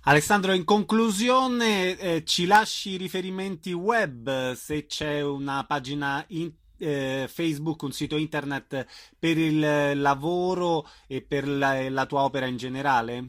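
A man with a fairly high voice hosts a radio interview. He puts questions and reads out details in a friendly manner, with some hesitation. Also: unhurried, hardly any pauses, a bit bright. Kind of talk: interview hosting.

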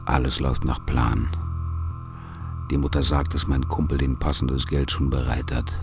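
An actor playing a sly, scornful convict in a German radio drama monologue, gravelly, sardonic and confiding. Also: male voice, rumbling low, flat delivery, moderate pace. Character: sly, scornful male convict plotting his release. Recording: music under the voice.

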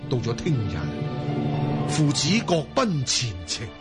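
An actor playing a proud middle-aged Mongol ruler in a Cantonese radio drama, stately and emphatic. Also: male voice, medium pitch, big pitch swings, a little slow, over loud music. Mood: wistful, tender.

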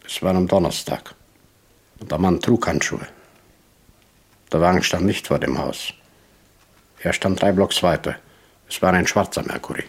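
Timid shopkeeper, very husky rough low voice, courteous, guarded, old radio play. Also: male voice, moderate pace, long pauses. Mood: guarded, firm. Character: polite, uneasy male toy shop keeper, cornered witness. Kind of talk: 1960s German radio play acting.

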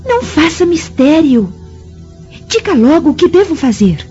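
An actress playing a lovesick, willful young Roman daughter in a radio drama, impassioned and theatrical. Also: female voice, high-pitched, sing-song, a little slow, dark tone, soft background music. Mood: impatient. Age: young adult. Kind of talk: dramatic radio play acting. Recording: muffled radio drama recording.

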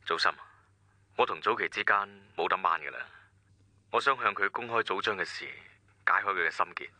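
A weary, stubborn businessman in a radio play. His voice is very low yet bright and forceful, with a Hong Kong accent. It sounds close and dry. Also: fairly quick, dry recording. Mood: resolute, confiding. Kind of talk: radio drama acting.

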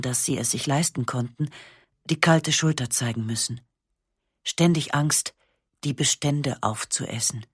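A woman reading a first-person literary memoir for radio, calm and measured, with slow, matter-of-fact pauses. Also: deep voice, husky, no room sound, clean studio sound. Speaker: narrator.

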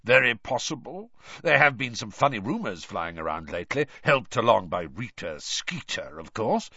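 A man voicing a gruff, wary old wizard professor for an audiobook, muttering and growling his curt remarks. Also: fairly low pitch, big pitch swings, moderate pace, sharp tone, no room sound, muffled recording, full character voice. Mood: grim, guarded. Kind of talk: audiobook character voice.

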